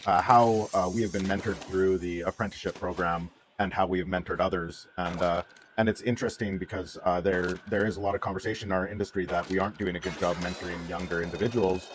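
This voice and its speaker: A man's voice: low voice, fairly even intonation, fast talker, slight background noise. A man co-hosting a casual livestream, talking reflectively and often searching for his words. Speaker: livestream co-host.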